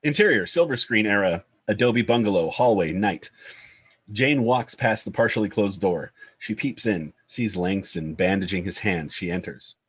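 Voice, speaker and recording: A man's voice: fairly low pitch, lively intonation, moderate pace, husky. A man reading screenplay stage directions as scripted narration.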